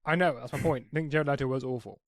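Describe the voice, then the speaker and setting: A man's voice: medium pitch, fast talker. A man co-hosting a podcast in casual, bantering chat with some swearing. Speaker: podcast co-host.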